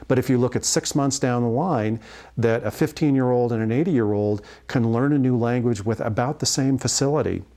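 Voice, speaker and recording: A man giving an explanatory health lecture as a physician, speaking in measured phrases with pauses mid-sentence. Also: mid-range voice, mellow. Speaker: family physician and instructor.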